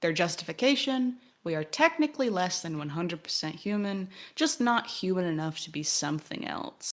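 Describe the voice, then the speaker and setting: Lower-pitched woman in analytical discussion. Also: animated, normal speed. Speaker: podcast co-host. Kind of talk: discussion of a video game, partly reading text aloud.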